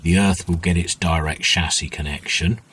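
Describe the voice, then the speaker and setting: A man giving an informal workbench tutorial as a hobbyist restorer, thinking aloud in a hesitant, unhurried way with a husky voice. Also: deep voice, steady tone, fairly bright tone. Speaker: hobbyist radio restorer, video host.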